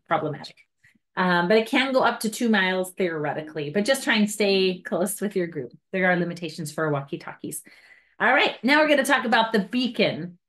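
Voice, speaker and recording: Female outdoor-guide trainer, mid-pitched, informal and chatty, talking through a practical demo step by step. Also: fairly bright tone. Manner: casual, chatty, hands-on step-by-step explaining. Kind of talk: informal instructional demonstration.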